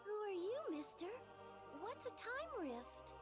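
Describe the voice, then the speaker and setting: A feisty, naive little girl in a video game cutscene. The voice is extremely high and swings up and down in a childish, exaggerated way. Loud music plays behind it. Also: sing-song, takes it slowly, exaggerated voice. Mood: curious, excited.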